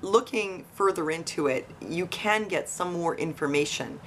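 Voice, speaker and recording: A woman with a fairly low voice hosts an informal investigative commentary for online viewers. She speaks conversationally, posing questions and speculating as she goes. A faint buzzing noise sits behind her voice. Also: a little slow, fairly bright tone. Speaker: online video host. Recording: noisy, buzzing tree-cutting outside. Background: buzzing from tree cutting outdoors.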